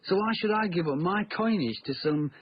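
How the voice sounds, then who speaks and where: A man plays a cynical young British layabout in a naturalistic drama. His voice is rough and husky, fairly high, and jumps around in pitch as he brushes people off. The sound is a little dull. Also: lively intonation, normal speed, no room sound. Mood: dismissive, scornful. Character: defensive young slacker, cynical and dismissive.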